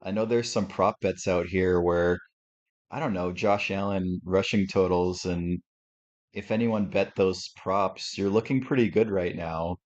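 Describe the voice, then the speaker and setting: A man with a deep, sportscaster-like radio voice, hosting a relaxed live reaction stream from home and thinking aloud as he talks. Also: measured pace. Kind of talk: casual live game reaction commentary. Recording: slightly muffled lo-fi audio.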